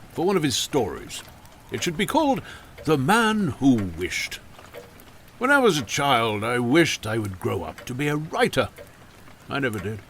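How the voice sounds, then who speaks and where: Audio drama casting: frail-willed, complaining old gentleman, low-pitched and highly animated, over noisy ambience. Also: exaggerated voice. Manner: complaining, theatrical. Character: querulous, self-pitying old retired lawyer uncle, dying.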